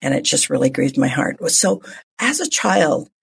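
Husky, expressive low-voiced woman hosting. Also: normal speed. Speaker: radio show host.

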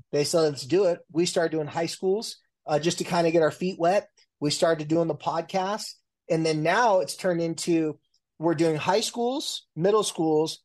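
A man's voice: on the high side, a little mellow. A man in his forties, a therapist, talking loosely in a casual interview with many fillers and restarts. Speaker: guest, family and marriage therapist.

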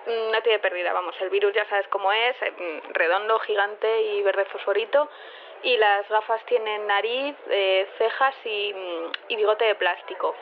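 An actress playing a scatterbrained, chatty engineer with a Spain accent, rambling through a voicemail in an audio drama. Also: female voice, medium pitch, normal speed. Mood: chatty, matter-of-fact. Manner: chatty, rambling, hesitant, colloquial. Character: scatterbrained, friendly female lab engineer leaving community voicemail. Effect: phone filter. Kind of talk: casual voicemail in audio fiction. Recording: tinny phone line with faint noise.

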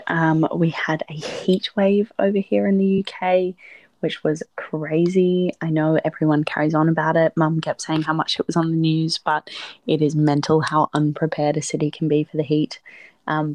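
A woman's voice: deep voice, darkish tone, no echo. A woman, chatting on a podcast in an easygoing, cheerful way. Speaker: podcast co-host. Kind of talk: casual podcast chat.

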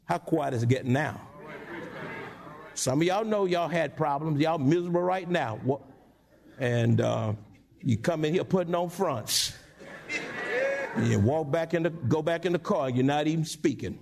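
A male pastor preaching a sermon in church, with call-and-response and sudden high-pitched asides. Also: normal speed, gravelly, pauses often, darkish tone. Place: church sanctuary.

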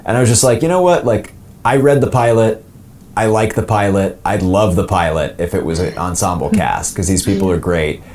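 A young man talks through interview answers in a relaxed, meandering way, circling back with fillers and false starts. His voice sits very low. He is an actor and co-producer describing his work. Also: normal speed, faint music behind. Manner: rambling, many fillers and restarts.

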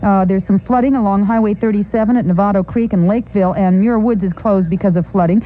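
An American woman delivers a scripted newsroom report on 1980s radio. Her voice has a middling pitch, and the sound is thin and band-limited, like an old aircheck. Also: fairly even intonation, quick pace, phone-quality sound.

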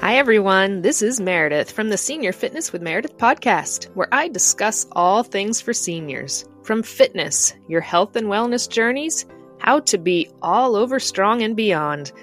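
A woman's voice: medium pitch, animated, normal speed, fairly bright tone. Woman reading a podcast promo. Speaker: podcast host doing a promo. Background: music.

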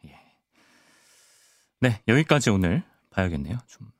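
Male radio host, low voice with lively swings, dry close studio sound, casual banter between questions. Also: unhurried, no echo. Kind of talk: conversational current-affairs radio hosting.

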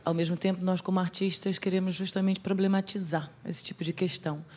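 A woman from Brazil, a theatre artist with a Brazilian accent softened by living in Portugal, telling a story in a lively, conversational way. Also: fairly low pitch, fairly quick. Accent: Brazilian Portuguese, softened by life in Portugal.

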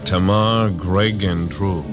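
An actor playing a grim, steady frontier marshal who narrates in clipped, laconic 1940s radio acting. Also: male voice, low voice, slow pace, muffled recording, over music.

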